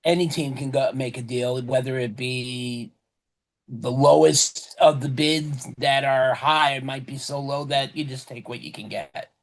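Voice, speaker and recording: A man chatting casually about basketball on a podcast. He rambles, stops to correct himself, and his voice has a slightly hoarse edge. His pitch sits in a plain middle range. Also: fairly even intonation, unhurried, low-quality recording. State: voice going hoarse. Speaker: podcast co-host. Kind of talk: casual sports talk.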